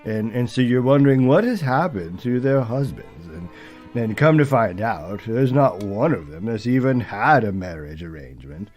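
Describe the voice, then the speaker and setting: A man playing a doddering, opinionated old British film critic who rambles haltingly and mutters forgetful asides in a comic review monologue. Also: fairly low pitch, animated, lo-fi sound, faint music behind. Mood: puzzled, mildly indignant.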